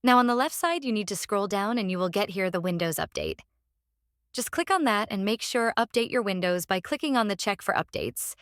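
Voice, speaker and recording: A woman who speaks English as a second language hosts a step-by-step software tutorial in a halting, repetitive instructional way. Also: mid-range voice, lively intonation, smooth, no room sound, studio quality. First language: non-native English speaker. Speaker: tutorial host.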